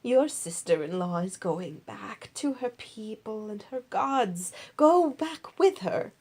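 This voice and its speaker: A woman voicing a bitter, grieving older widow in a Bible story, pleading with drawn-out, emphatic words. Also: medium pitch, animated, a little mellow, slightly rough, heavily acted. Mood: urging.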